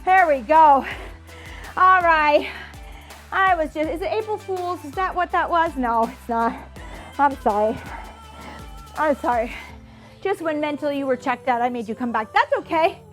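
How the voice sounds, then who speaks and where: High-pitched woman coaching a workout. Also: a bit bright. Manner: chatty, encouraging, self-deprecating asides. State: stuffed-up nose. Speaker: fitness instructor. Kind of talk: workout coaching, counting and cueing to camera.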